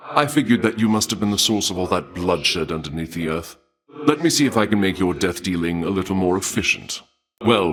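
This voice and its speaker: A male god of war from a fantasy video game, with a deep, low voice. He is refined and bloodthirsty, choosing formal, old-fashioned phrasing. The delivery is deliberate and theatrical, with menace kept under impeccable politeness. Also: a bit bright.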